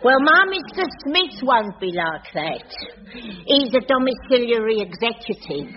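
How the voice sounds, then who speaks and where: A woman's voice: on the high side, sing-song, measured pace. An actress playing a cheeky, man-hungry middle-aged female cleaner in a radio sitcom. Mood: eager. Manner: brazen, chirpy, comic innuendo. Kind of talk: 1980s radio sitcom acting. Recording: dull, hissy old radio broadcast.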